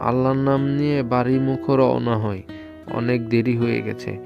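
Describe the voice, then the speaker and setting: A man's voice: mid-range voice, fairly even intonation, normal speed, vocal fry, lightly acted. A man playing a stubborn old village weaver in a cartoon, muttering to himself and exclaiming as he complains. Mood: relieved, hopeful. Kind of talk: cartoon voice acting. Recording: dull lo-fi audio with music behind.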